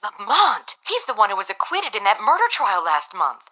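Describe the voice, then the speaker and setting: An actress playing an anxious, devoted companion of a crime-fighter, earnest and theatrical, in old-time radio drama style. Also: female voice, mid-range voice, wildly swooping pitch, moderate pace, sharp tone. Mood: concerned.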